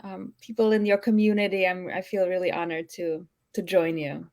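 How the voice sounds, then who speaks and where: Russian-accented woman guest, gentle and soft-spoken, on a home video call. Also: lowish voice, level tone, normal speed, crowd noise. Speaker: guest healer. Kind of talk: casual live-stream interview.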